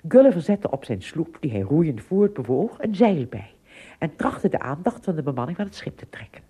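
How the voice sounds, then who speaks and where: Female narrator, low and husky, warm soft tone, expressive scripted storytelling. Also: moderate pace.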